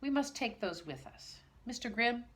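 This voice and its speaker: A woman's voice: a little high, expressive, normal speed, a bit bright, pitched above the performer's own voice. A woman voicing an earnest young girl in a storybook, pleading for her toys.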